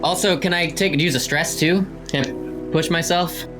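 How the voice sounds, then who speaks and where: A man's voice: a little high, fairly even intonation, moderate pace, over music. A man chatting casually as a player in a tabletop role-playing game.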